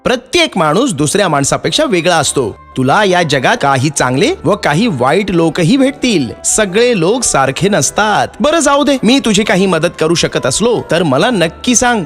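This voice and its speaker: A man's voice: sing-song, moderate pace, few pauses, faint music behind. A man voicing a simple, kindly, gullible and timid villager in a cartoon moral story, in a pleading manner. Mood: kindly, helpful. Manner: high-pitched, timid, pleading delivery.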